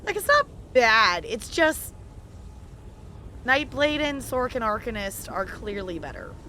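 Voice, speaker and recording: A woman's voice: on the high side, big pitch swings, measured pace, bright, forceful tone, a little hiss or hum. A woman chatting casually on a game livestream, rambling and hesitant as she thinks aloud. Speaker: game streamer.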